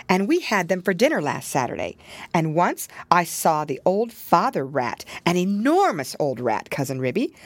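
A woman's voice: lowish voice, dry recording. A woman voicing Tabitha, a tearful fretful mother cat.

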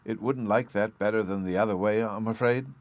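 A man voicing a gentle, sensible little fairy girl, speaking softly and carefully in an audiobook. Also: fairly low pitch, steady tone, fairly quick, pitched above the performer's own voice, natural voice. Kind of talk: audiobook character voice.

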